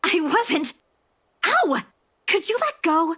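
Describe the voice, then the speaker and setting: A woman's voice: very high voice, big pitch swings, slow, sharp tone, full character voice. An actress playing a desperate, stubborn young woman in a visual novel, speaking with urgent, pleading insistence. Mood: flustered.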